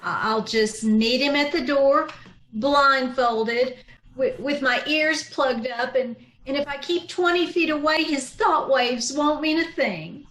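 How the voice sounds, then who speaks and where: A woman's voice: sits high, slow pace, fairly bright tone. Woman playing a wry schoolteacher, echoey room. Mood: earnest, determined. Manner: dry, sardonic. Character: wry wisecracking female schoolteacher. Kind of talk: old-time radio sitcom acting. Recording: roomy, echoey video-call recording.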